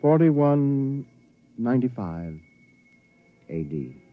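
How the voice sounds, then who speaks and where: A gentle young husband in a 1950s radio drama, mid-pitched, measured and earnest. The sound is dulled like an old broadcast. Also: male voice, big pitch swings, faint music behind. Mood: dawning hope. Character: gentle, doubtful young husband, survivor of atomic war. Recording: muffled old radio recording.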